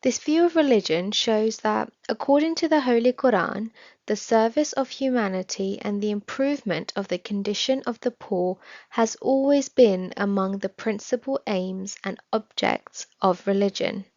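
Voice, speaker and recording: A woman reading a scripted religious study text aloud in a measured, formal manner. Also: medium pitch, a little mellow, no room sound. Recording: slightly dull, dry recording.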